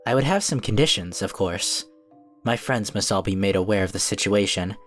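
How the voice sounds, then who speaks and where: A man playing a calm, diplomatic cookie wizard who speaks in an earnest, formal, measured way in a fan cartoon drama. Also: fairly low pitch, soft background music, lightly acted. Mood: calm, firm. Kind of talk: scripted fan audio drama acting.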